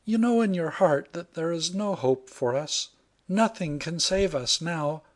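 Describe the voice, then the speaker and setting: A man reading aloud and voicing an emotional young woman, trapped by the tide and later indignant, in a high, strained voice. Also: unhurried, close to an everyday voice. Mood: despairing. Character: anxious excitable young woman in peril.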